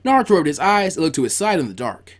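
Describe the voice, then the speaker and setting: A man reading a scripted story aloud like an audiobook, in an animated, expressive manner. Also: a little high, fast. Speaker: fan fiction reader, narrator.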